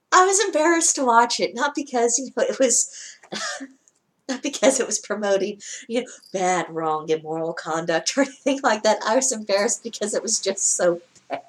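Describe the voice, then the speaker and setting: A woman with a lower-pitched voice giving casual spoken commentary as a vlogger, wry and chatty, often laughing mid-sentence. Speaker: vlogger reacting to a broadcast.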